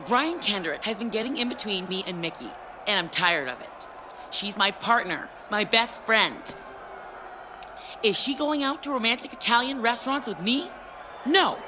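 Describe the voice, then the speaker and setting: A high-pitched, needy woman wrestler in a video game story scene played by an actress. She is possessive and earnest to the point of pleading. Clear noise sits behind her voice. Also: lively intonation, moderate pace, lightly acted. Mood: indignant. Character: clingy, possessive female wrestler, gushing and jealous. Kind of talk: scripted video game cutscene acting.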